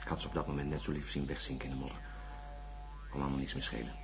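A man's voice: extremely low pitch, flat delivery, racing, vocal fry, soft background music. A worn-out male astronaut in a science fiction radio play. He is cynical and irritable, speaking in a curt, sarcastic way. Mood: tired, bitter. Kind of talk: radio drama acting.

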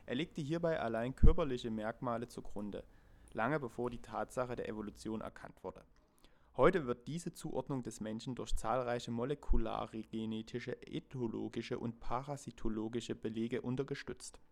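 A man's voice: mid-range voice, darkish tone, lightly acted. A man playing a dry scholar who reads formally from a textbook in an audio play. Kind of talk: scripted reading in an audio play.

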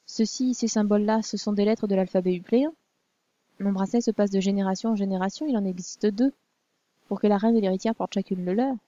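A woman's voice: mid-range voice, steady tone, quick pace, warm tone, lo-fi sound, lightly acted. A woman voicing a young princess who speaks in a formal, proper way. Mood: earnest. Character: young princess, weary yet curious and proper.

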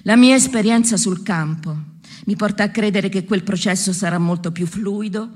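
A woman reading a prepared political statement aloud at a public event, in a measured, formal manner. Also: medium pitch, darkish tone. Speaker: speaker at a public solidarity event.

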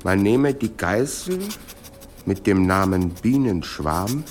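Radio drama monk: halting, very slow recitation, animated pitch, solemn, faint noise. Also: male voice. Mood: solemn, ominous. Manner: halting, deliberate. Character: solemn male monastery copyist reciting an exorcism ritual.

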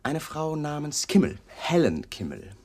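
A man's voice: a little high, very animated, unhurried, darkish tone. A man acting in a 1980s German radio crime play as a persistent police detective, coolly polite and suspicious, putting pointed questions. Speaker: actor in a radio crime drama.

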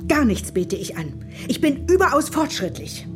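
A woman's voice: sits high, very animated, normal speed. Brief: fussy, haughty mother in a radio play, music behind her. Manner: prim, indignant, clipped. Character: prim, haughty mother, fussy and machine-devoted.

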